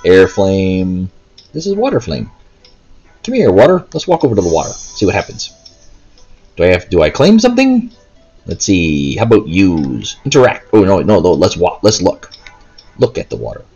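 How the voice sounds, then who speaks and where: Low-voiced man, casual rambling gameplay commentary. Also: huge pitch swings, moderate pace, darkish tone, husky, lo-fi sound, faint music behind. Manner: hesitant, rambling, unscripted. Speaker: gameplay video host. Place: indoors, in a room while playing a handheld console.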